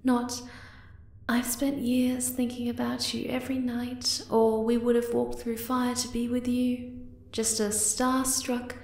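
A woman voicing a shy, flustered young moth-girl lover in an ASMR roleplay, hesitant, tender and soft-spoken. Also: a little high, completely flat delivery, a little slow, echoey room. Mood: awkward, self-chiding.

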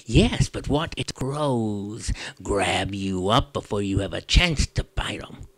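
A fussy raccoon frets aloud, its voice swinging high and low, as a storyteller puts it on. It sounds close and dry, with a gravelly edge. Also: expressive, takes it slowly, dry recording, heavily acted. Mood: fretful.

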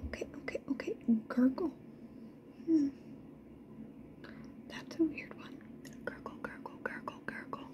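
A woman's voice: high-pitched, measured pace, pauses often. Whispering woman repeating trigger words. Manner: gentle, playful, soothing. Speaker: ASMR creator. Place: indoors at home.

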